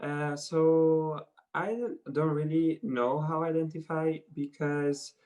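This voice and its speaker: Teenage man, from Italy, video call, slightly high pitch, hesitant, thoughtful. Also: flat delivery, slow pace, a little mellow. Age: teens. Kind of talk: casual video call chat.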